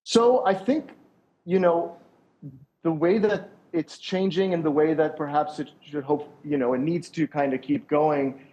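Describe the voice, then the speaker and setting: A man's voice: fairly high pitch, a little slow, mellow, highs cut off. A young man, a sound engineer, answering interview questions over a call in a thoughtful, rambling way with many restarts.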